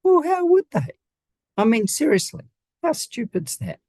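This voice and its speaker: A man's voice: very high-pitched, wildly swooping pitch, measured pace, darkish tone, dry recording, clean studio sound. A man with a New Zealand accent giving conversational, emphatic interview answers, often making his points with rhetorical questions. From Wellington region, New Zealand. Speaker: guest, home designer.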